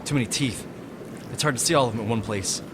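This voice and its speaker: A man's voice: mid-range voice, quick pace, background noise, natural voice. An actor playing a blunt, foul-mouthed, defiant male captive in an audio drama, emotional in delivery. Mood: weary concern.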